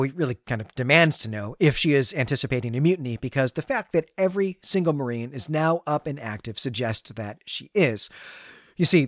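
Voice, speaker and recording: A man's voice: medium pitch, no room sound, studio quality. A man hosting a podcast, recapping a story conversationally in a chatty, hesitant way and often interrupting himself. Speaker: podcast host.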